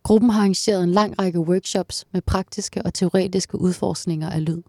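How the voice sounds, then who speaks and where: A woman narrating a documentary from a script in an even, measured read. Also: lowish voice, no echo, studio quality.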